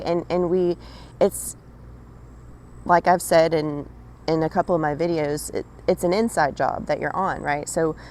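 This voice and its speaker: Raspy, lower-pitched woman channeler, chatty direct-address reading, faint hiss behind. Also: darkish tone. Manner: addresses listener directly, frequent "right?" and "okay?". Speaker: spiritual channeler. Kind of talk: casual spiritual monologue.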